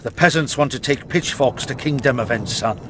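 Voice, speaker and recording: A man's voice: medium pitch, normal speed, noisy background, natural voice. An actor playing an agitated townsman in a video game, raising his voice with urgency. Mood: alarmed. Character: agitated male townsman bringing news of unrest.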